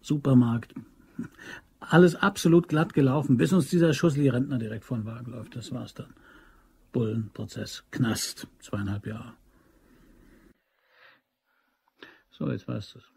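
An actor playing a scheming, persuasive ex-convict locksmith in a German crime radio drama, with slangy, insinuating talk. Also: male voice, medium pitch, steady tone, moderate pace, gravelly, warm tone, slightly rough. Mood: grim, confiding.

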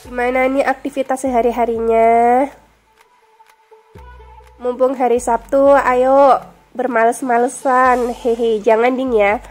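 Young woman, nasal from a cold, bright chatty vlog voice-over, light music under it. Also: fairly high pitch, level tone, slow pace, pauses often. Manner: chatty, friendly, addresses viewers directly. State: stuffy nose from a cold. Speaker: vlogger. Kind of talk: casual voice-over narration.

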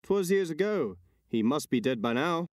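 A man's voice: a little high, very animated, moderate pace, dry recording, studio quality. A man playing a haughty, skeptical imperial commander in a Shakespearean dramatic reading. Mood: dismissive.

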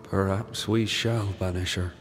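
A man playing the grave, pious ghost of a wronged settler in a dramatic video game, speaking in a measured, resigned manner. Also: low voice, steady tone, music in the background.

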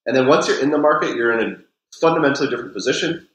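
Man, realtor guest, video call, roomy sound, relaxed, mid pitch. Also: very fast. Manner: relaxed, repeats filler words. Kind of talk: casual advice. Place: home office with bookshelves. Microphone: laptop mic on video call.

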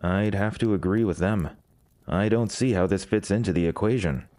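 Male actor as confident conspiring prince, low pitch, composed, agreeable, audio drama. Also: moderate pace, warm tone, close to an everyday voice.

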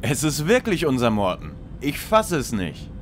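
An actor playing a brisk, skeptical young detective leader in a parody audio play. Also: male voice, mid-range voice, huge pitch swings, slight background noise. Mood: dry, skeptical.

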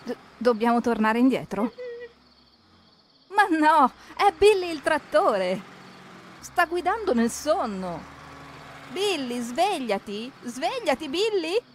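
A woman's voice: high-pitched, very animated, slow pace, pauses often, a little hiss or hum. A woman narrating a children's cartoon, expressively asking questions and talking straight to the characters.

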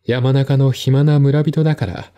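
Guy reading as a calm well-spoken man in a mystery radio drama, explaining stuff carefully, mellow mid voice. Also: medium pitch, a little slow, lightly acted. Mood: measured, reflective. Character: composed, articulate man recounting his stepmother's death. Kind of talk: one-man audio drama dialogue.

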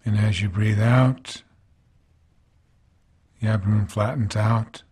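A man guiding a body scan meditation as a teacher, speaking calmly in gentle, unhurried phrases with pauses between them. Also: on the low side, husky.

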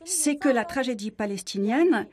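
A woman's voice: fairly high pitch, animated, moderate pace, a crowd in the background. A woman speaking carefully at a dinner table indoors, searching for her words. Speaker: Arabic teacher, dinner guest. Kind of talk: table conversation.

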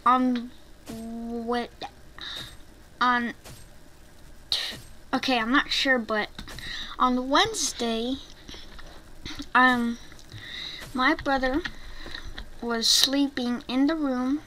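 High-pitched, halting gaming vlogger, female-sounding voice. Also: fairly even intonation, sharp tone. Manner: rambling, hesitant, halting delivery. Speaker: gaming YouTuber. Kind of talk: casual gameplay commentary. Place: home bedroom, voice over gameplay. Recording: noisy home mic with keyboard clicks.

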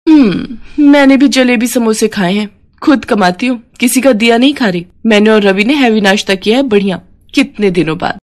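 A woman's voice: on the high side, moderate pace, pitched above the performer's own voice, lightly acted. A woman voicing a proud young working daughter-in-law in a cartoon story, boastful and huffy. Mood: haughty.

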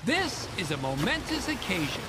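Actress as an animated official in a reverberant hall. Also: female voice, medium pitch, normal speed, background noise, close to an everyday voice. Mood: stately, grateful. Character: formal, eager official addressing a summit. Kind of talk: ceremonial speech. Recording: hall reverb with loud music.

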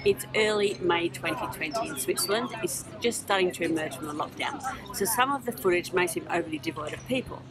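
A woman's voice: fairly low pitch, brisk, few pauses, a crowd in the background, low-quality recording. A middle-aged Australian woman co-hosting a travel vlog, speaking casually to viewers outdoors. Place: outdoors on a riverside overlook. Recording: outdoor hubbub behind the voice.

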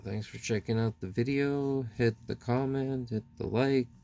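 A man hosting his own card-opening channel, calmly reading out player names one by one in casual commentary, recorded indoors at a table. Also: mid-range voice, moderate pace, creaky, slight background noise. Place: indoors at a table, hands on camera only.

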